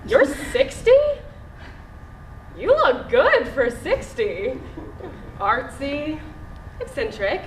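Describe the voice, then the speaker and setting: A woman's voice: high-pitched, wildly swooping pitch, drawn-out words, long pauses, heavily acted. An actress playing a skeptical, naive thirty-year-old woman tourist in a stage play, questioning and incredulous. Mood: disbelieving. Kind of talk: live stage play acting. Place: theatre stage, indoors. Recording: echoey theatre room, faint noise.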